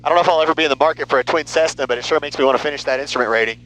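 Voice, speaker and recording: A man's voice: on the high side, racing, fairly bright tone, low-quality recording. A man chatting casually on a headset as a passenger in a small airplane. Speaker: passenger, student instrument pilot. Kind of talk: casual chat. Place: small airplane cabin in flight. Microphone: aviation headset. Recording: engine drone and music behind.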